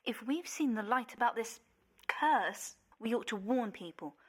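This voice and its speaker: A woman's voice: on the high side, expressive, a little slow, slightly rough. An actress playing an outspoken, superstitious young daughter in a radio play, with girlish earnestness. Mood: earnest.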